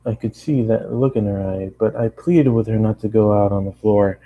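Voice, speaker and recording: A man's voice: lowish voice, steady tone, moderate pace, narrow, tinny audio. A man narrating a first-person story as an amateur reader.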